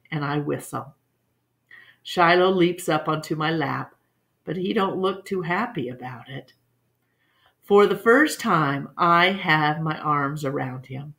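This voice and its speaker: Slow, low-voiced woman reading a storybook. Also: creaky, fairly bright tone. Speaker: read-along storyteller. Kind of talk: expressive children's book read-aloud.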